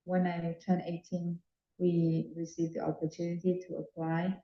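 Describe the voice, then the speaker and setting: A young woman from Burma telling her story in a plain, steady way. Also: on the low side, moderate pace. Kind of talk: personal testimony. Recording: dull, echoey room recording.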